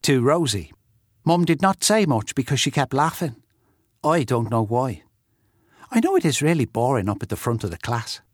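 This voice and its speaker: An actor reading letters as a blunt, chatty young schoolboy with a simple, childlike way of talking. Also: male voice, medium pitch, sing-song, moderate pace, no echo, studio quality. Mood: chatty, matter-of-fact. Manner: childlike, simple run-on telling. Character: young schoolboy writing blunt, chatty notes to his friend.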